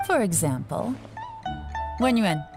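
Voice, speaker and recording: A woman's voice: mid-range voice, big pitch swings, takes it slowly. A woman acting a cool, confident, shrewd intelligence chief in a video game, composed and commanding. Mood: instructive. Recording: music behind the voice.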